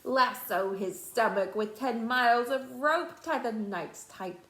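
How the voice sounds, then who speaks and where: A woman's voice: on the high side, slow pace, a bit bright, roomy sound. A woman voicing an angry, bullying mob of storybook animals barking orders. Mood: menacing.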